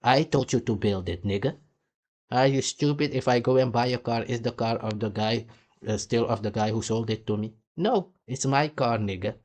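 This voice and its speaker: A man's voice: medium pitch, normal speed, a little mellow. A man from Suriname, hosting a heated, blunt and profane reaction commentary. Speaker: commentary host.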